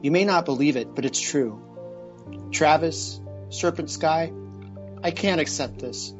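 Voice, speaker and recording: A man's voice: on the high side, a little slow, muffled recording, faint music behind, lightly acted. A man playing a torn, earnest figure giving an overwrought, solemn farewell in a soap-opera parody.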